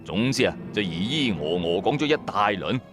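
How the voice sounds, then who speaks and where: A man's voice: on the low side, animated, moderate pace, fairly bright tone, soft background music. A man narrating a horror story in the first person, in a colloquial, suspenseful way.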